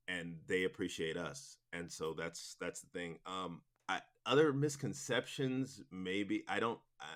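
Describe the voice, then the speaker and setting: A man's voice: low-pitched, fairly bright tone. A man talking casually and enthusiastically as an interview guest about his work, rambling in an informal way. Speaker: interview guest, audio drama producer.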